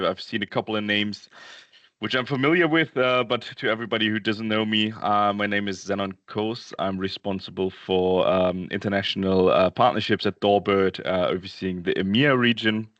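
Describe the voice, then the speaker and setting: Male company presenter, low register, dry sound, hesitant webinar delivery peppered with fillers. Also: a bit bright, dry recording, dull audio. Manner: halting, hesitant delivery with many ums and uhs. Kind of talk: informal product presentation. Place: indoors, Berlin office.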